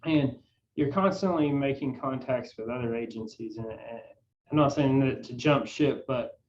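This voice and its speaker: A man's voice: medium pitch, normal speed, a little mellow. A man on a panel from a small agency, giving plain advice that trails off at sentence ends, over a video call. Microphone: video call, away from the mic. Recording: distant dull call audio with faint noise.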